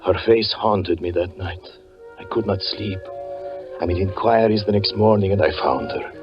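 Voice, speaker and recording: An actor playing a haunted, doom-laden fortune teller, narrating gravely and haltingly in 1940s radio drama style. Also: male voice, deep voice, close to an everyday voice. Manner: grave, halting, melodramatic delivery. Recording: muffled 1940s radio recording with music.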